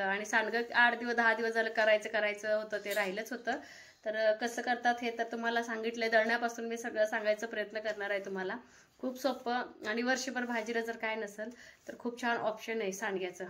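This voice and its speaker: Bright-voiced chatty Marathi woman, higher pitched. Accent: rural Maharashtrian Marathi. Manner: chatty, conversational. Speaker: family vlog host. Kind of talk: casual home cooking vlog narration.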